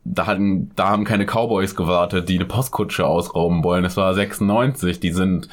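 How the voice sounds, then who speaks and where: A man co-hosting a casual true-crime podcast, joking around with crude slang and lively asides. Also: sits low, fairly quick. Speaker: podcast co-host.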